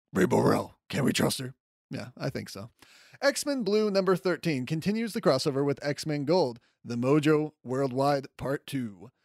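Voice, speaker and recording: A man's voice: a little high, no room sound, studio quality. A man hosting a solo podcast, talking in a chatty, enthusiastic and casual way. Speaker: podcast host.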